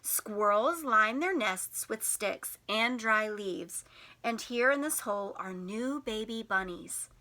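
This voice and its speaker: A woman teacher reading a picture book to young children in an expressive, sing-song way, putting questions to them. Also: medium pitch, takes it slowly. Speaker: teacher and storytime host.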